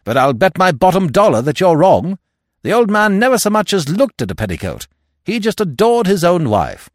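A man's voice: high voice, sing-song, moderate pace, no echo, clean studio sound, voice pushed up. A man voicing a brash, blunt, loyal secretary in an audiobook mystery, speaking in slangy, emphatic, indignant bursts. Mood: indignant disbelief. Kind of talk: acted dialogue in audiobook mystery.

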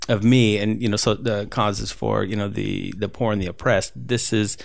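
A man from Southern California talks loosely and at length, circling back with fillers and restarts. His voice sits low with a gravelly fry, recorded close and dry. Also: steady tone, moderate pace, no echo. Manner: rambling, many fillers and restarts. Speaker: street photographer guest. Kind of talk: casual interview conversation.

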